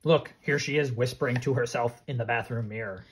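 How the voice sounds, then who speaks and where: A performer voicing a playful, curious pirate companion with a gravelly, husky voice, chatting simply along with a storybook reading. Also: mid-range voice, fairly quick, slight background noise, natural voice. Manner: simple, curious remarks and questions. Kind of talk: casual read-along chat.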